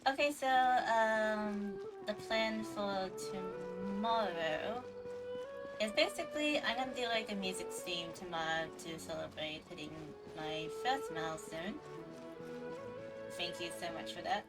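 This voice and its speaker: Female livestreamer: medium pitch, slow, rambling gameplay chat, recorded a bit far from the mic. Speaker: game streamer. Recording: distant microphone under game music. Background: game music.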